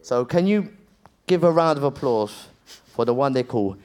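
A man's voice: medium pitch, animated, normal speed, darkish tone. A man introducing guests on stage, playful and joking, tripping over names. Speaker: event host. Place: stage before a live audience.

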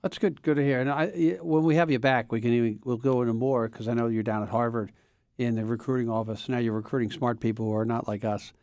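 Fast-talking podcast host man. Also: medium pitch, steady tone, a little mellow, no echo, studio quality. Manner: brisk, teasing, steers the talk. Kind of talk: casual podcast chat.

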